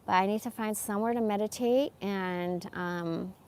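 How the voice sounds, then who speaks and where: A woman's voice: mid-range voice, normal speed, darkish tone, a little hiss or hum. A woman in her forties rambling casually about personal things in a candid, emphatic, slangy way. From born in Iran, moved to America as a young child. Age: 40s. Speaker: live streamer.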